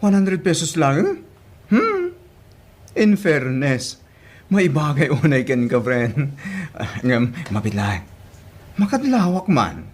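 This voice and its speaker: A nosy female friend acted by a woman in an Ilocano radio-style drama. She teases and exclaims in a husky voice that swoops wildly up and down. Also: low-pitched, sing-song, darkish tone, exaggerated voice. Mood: teasing. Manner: exclaiming, drawn-out teasing.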